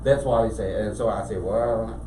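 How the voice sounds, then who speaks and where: A man from Cambodia with a Cambodian accent, a business owner telling his story as a guest in a casual interview, animated and full of restarts. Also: lowish voice, normal speed. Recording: echoey room, mic at a distance, faint background noise.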